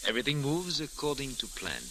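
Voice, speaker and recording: An actor playing a suave, smug foreign spy with deliberate, menacing politeness in an old-time radio drama. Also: male voice, medium pitch, sing-song, fairly bright tone. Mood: smooth, smug. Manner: suave, deliberate, with amused chuckles. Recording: noisy, hissy old radio recording.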